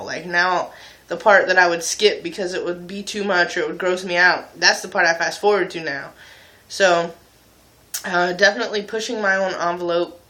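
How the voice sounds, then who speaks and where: Young man, video blogger. Bright, forceful tone, candid casual chat, pokes fun at himself. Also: sits high. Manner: candid, informal, self-mocking. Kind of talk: casual confessional chat to viewers.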